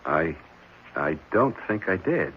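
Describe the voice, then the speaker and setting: A man playing an earnest, intense enthusiast with a husky voice and emphatic, urgent delivery in a 1970s radio drama. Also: sits low, sing-song, slow, a little hiss or hum, exaggerated voice. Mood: uneasy. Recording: muffled old radio broadcast.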